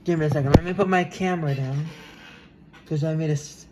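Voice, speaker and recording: A person giving a casual hands-on demo to viewers, talking haltingly while working. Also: mid-range voice, very noisy. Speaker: video demonstrator.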